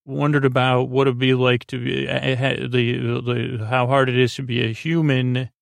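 A man hosting a bedtime podcast in a rambling, unscripted way, halting and repeating himself, with a goofy, whimsical, self-deprecating manner. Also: mid-range voice, little pitch movement, creaky, dry recording. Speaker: podcast host.

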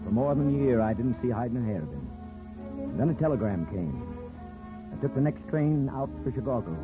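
An actor playing a gruff, sentimental middle-aged small-town father in a folksy, grumbling manner, in 1950s radio drama style. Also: mid-range voice, sing-song, a little fast, natural voice. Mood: wistful narrating. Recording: muffled 1950s radio broadcast, music.

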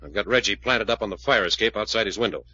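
Husky, intense radio detective. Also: male voice, on the low side, fast, sharp tone. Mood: brisk, stubborn. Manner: urgent, insistent, commanding questioner. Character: intense, driven male investigator puzzling out a case. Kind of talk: 1940s radio detective drama acting. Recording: muffled old radio broadcast recording.

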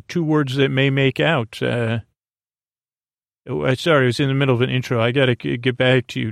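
A man hosts a bedtime podcast in a gravelly, creaky voice at a middling pitch. He wanders from tangent to tangent, stalling on fillers and restarting words. The recording is close and dry, with no room sound. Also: dry recording. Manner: meandering, halting, many fillers and restarts. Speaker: sleep podcast host. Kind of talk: rambling bedtime podcast intro.

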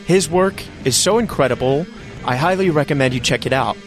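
A man's voice: a little high, fairly quick, faint music behind. A man hosting an interview podcast, speaking in an enthusiastic, effusive way. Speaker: podcast host.

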